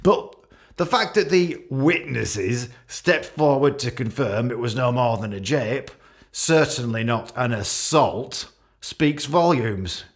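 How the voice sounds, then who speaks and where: An actor playing a pompous, self-pitying middle-aged British senior police officer making a halting, passive-aggressive speech in a radio play. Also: male voice, medium pitch, animated. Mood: self-justifying, smug.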